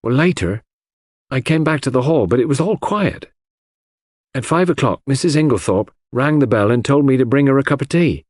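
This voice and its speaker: Audiobook: old loyal housemaid, played by a man, old-fashioned and deferential, with indignant edge, lifted pitch, close dry sound. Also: lively intonation, normal speed, a little mellow, dry recording, close to an everyday voice. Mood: deferential, confiding.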